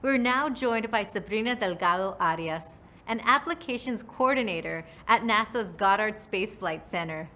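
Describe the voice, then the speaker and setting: A woman's voice: mid-range voice, lively intonation, moderate pace, pushed and bright. A woman hosting a live science show, giving a scripted bilingual introduction and then interviewing a guest. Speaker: host.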